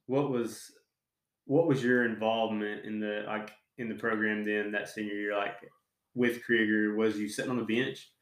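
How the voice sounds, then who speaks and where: A man's voice: fairly low pitch, steady tone, moderate pace, reverberant. A young man with a Southwest Virginia accent hosting a podcast, asking rambling, chatty questions. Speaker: podcast host. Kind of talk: casual podcast interview.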